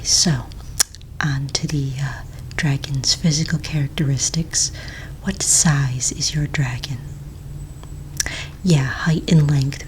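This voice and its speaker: A woman playing a patient, polite office manager in a soft-spoken ASMR roleplay, breathy and half-whispered, asking gentle official questions with small hesitations. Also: low voice, low-quality recording. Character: patient, polite female office manager registering fantasy pets. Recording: close mic, faint noise, keyboard clicks. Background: computer keyboard typing and clicks.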